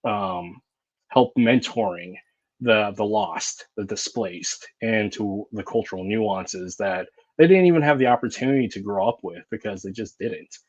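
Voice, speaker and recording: A man's voice: fairly low pitch, unhurried, no echo. A man hosting a podcast in casual conversation, rambling and reflective, with many fillers and restarts. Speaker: podcast host. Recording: dull, dry lo-fi sound.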